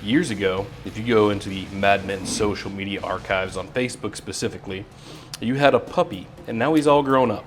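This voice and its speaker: A man's voice: on the low side, a little fast, soft background music. A man working as an interviewer, putting casual questions to his guest.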